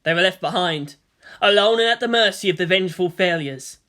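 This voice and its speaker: A man's voice: high-pitched, fairly quick, bright, forceful tone. A man giving a dramatic scripted narration of a fan fiction story.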